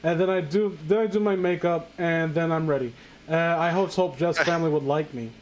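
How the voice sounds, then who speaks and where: A man reading fan fiction aloud casually, breaking off with sarcastic, halting, sweary commentary. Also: fairly high pitch, steady tone, a little hiss or hum, poor audio.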